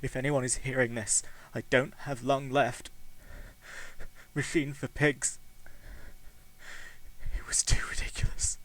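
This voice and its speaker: A man voicing a weak, dying man who speaks wearily in a hushed, fading way. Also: medium pitch, little pitch movement, unhurried, voice pushed down, close to an everyday voice. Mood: weary. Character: weak, dying young man leaving a last message.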